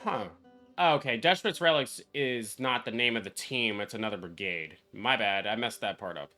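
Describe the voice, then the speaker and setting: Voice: medium pitch, moderate pace, sharp tone. A streamer giving casual reaction commentary on a game, blunt, mocking and full of swearing. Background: background game music.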